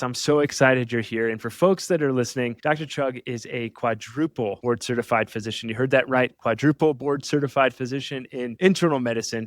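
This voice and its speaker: Man, medium pitch, podcast host, casual and enthusiastic, effusive, dry recording. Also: dry recording. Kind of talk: enthusiastic interview chat.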